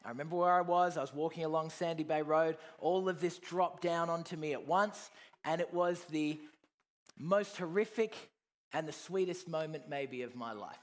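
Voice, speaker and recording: A man preaching his personal testimony, earnest, moving between loud emphasis and soft, low reflection. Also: moderate pace.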